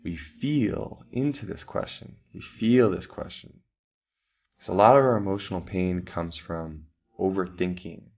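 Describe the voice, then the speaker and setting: A man calmly guiding a meditation, giving slow breathing instructions with long pauses. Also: low-pitched, highs cut off. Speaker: meditation guide. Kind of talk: guided meditation.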